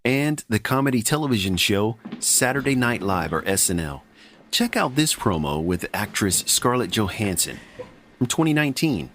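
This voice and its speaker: A man's voice: fairly low pitch, sing-song, normal speed, a bit bright, a little hiss or hum. A middle-aged man hosting a podcast, talking in a wry, chatty, self-mocking way. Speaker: podcast host. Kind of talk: casual scripted podcast narration.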